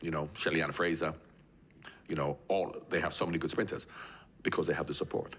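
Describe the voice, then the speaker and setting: A man's voice: very deep voice, steady tone, a little fast, a bit bright, slightly rough. An older man from Jamaica, raised in England, talking at length as a guest and often repeating words as he thinks. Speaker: guest, former footballer. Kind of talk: conversational interview.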